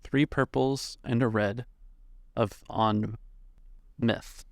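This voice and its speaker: A man working as game master, narrating a tabletop game off the cuff in measured, halting phrases. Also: medium pitch, steady tone, no room sound.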